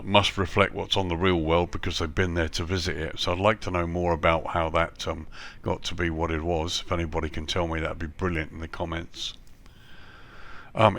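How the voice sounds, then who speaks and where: A man with a cold and a slightly hoarse voice, reviewing flight simulator scenery in a relaxed voice-over full of ums and repeated praise. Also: low voice.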